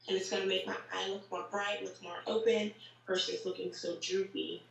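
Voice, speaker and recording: A woman with a slightly raspy, tired voice after a long workday, chatting casually with lots of fillers as she explains each step of a makeup tutorial. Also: mid-range voice, level tone, normal speed, distant mic. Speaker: makeup vlogger. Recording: roomy echo, voice set back from the mic, faint hiss.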